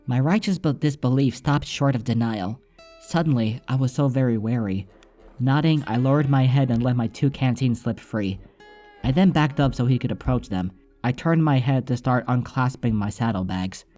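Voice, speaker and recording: A man's voice: mid-range voice, moderate pace, warm tone, faint music behind. A man reading scripted first-person story narration as an audiobook narrator.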